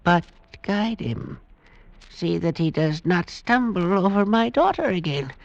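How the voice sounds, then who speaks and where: An actor playing a scornful old male village elder with a creaky voice, condescending, in a vintage radio drama. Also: high voice, very animated, exaggerated voice. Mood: scornful, commanding. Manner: slow, pronouncing, condescending. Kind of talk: 1940s-50s radio drama acting. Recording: dull vintage radio broadcast recording.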